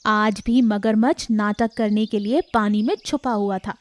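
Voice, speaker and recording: A woman narrating a scripted animated fable for children as a storyteller. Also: medium pitch, a little slow.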